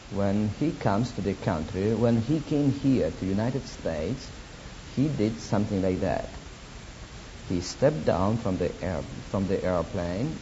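Slow Polish-accented man preaching, lo-fi. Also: fairly low pitch, warm tone. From Poland. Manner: deliberate, halting non-native phrasing with wry asides. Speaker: guest preacher. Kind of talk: sermon with personal testimony. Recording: dull lo-fi recording with faint hiss.

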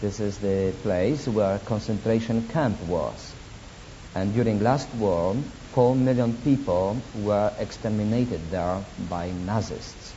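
A man from Poland speaking English with a Polish accent as a guest preacher, giving a sermon with personal testimony in deliberate, halting non-native phrasing and wry asides. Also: on the low side, dark tone. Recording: dull lo-fi recording with faint hiss.

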